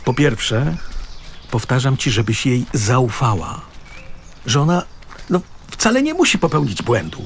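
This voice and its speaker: A man's voice: medium pitch, big pitch swings, a little slow, pauses often, slight background noise. An actor playing an exasperated middle-aged husband and father in a naturalistic radio drama, reasoning and reproachful, with pointed, hesitant phrasing. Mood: frustrated, reasoning.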